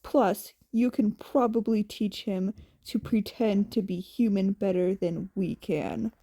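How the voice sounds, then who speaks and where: A woman voicing a gruff, tired, blunt but protective man with a grudging deadpan delivery in a fan fiction audiobook. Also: mid-range voice, takes it slowly, no echo, voice pushed down. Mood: blunt, firm.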